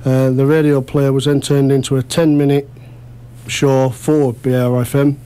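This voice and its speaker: A man's voice: mid-range voice, flat delivery, moderate pace, dark tone, clean tone. A man chatting casually as a radio interview guest, modest and self-deprecating. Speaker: amateur actor interview guest.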